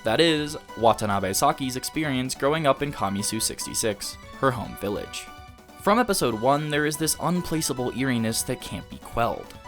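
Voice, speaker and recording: A man's voice: medium pitch, expressive, moderate pace, fairly bright tone, faint music behind. A man delivering scripted video essay narration as the host of an anime review.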